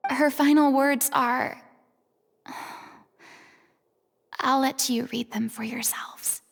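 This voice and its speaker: An earnest young woman investigator in a game story. Her voice is breathy, with a gravelly fry at the edges. She speaks in a hushed, solemn, compassionate way, in a long reverb. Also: sits high, fairly even intonation, a little slow, exaggerated voice. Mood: grave, reflective. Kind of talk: video game story voice acting.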